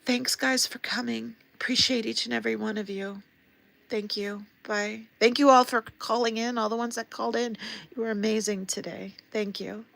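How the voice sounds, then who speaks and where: Sad, hoarse woman host on a livestream, gravelly and sniffly, earnest and rambling. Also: a little high, steady tone, normal speed, fairly bright tone. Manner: earnest, rambling, with many fillers and restarts. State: hoarse, losing her voice. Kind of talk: casual livestream talk to viewers.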